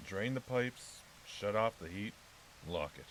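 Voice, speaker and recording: A man's voice: lowish voice, expressive, a little slow, fairly bright tone, poor audio. A man playing a laconic, wary keeper of a haunted house in an audio drama, with a folksy manner. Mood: stoic. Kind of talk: naturalistic audio drama acting. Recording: clearly hissy recording.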